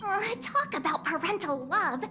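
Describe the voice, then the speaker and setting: A bubbly, teasing young crew member with a very high, bright voice. She sounds perky and quick to joke. Game music runs behind her. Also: big pitch swings. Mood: wry.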